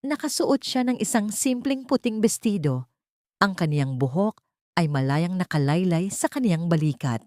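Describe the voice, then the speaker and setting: Low-voiced woman narrating, dry sound. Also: darkish tone, dry recording. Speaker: story narrator. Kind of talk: dramatic scripted narration.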